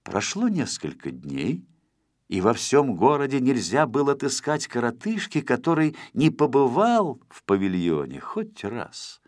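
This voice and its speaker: A man narrating a children's storybook in an expressive, scripted storytelling style. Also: medium pitch, unhurried, no room sound, clean studio sound. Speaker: audiobook narrator.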